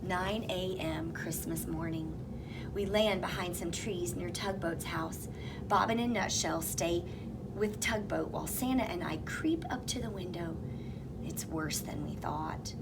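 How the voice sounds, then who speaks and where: A woman's voice: on the low side, a little slow. Woman reading a picture book aloud. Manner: expressive, dropping to whispers for suspense. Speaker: reader. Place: elementary school. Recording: recording with clear background noise.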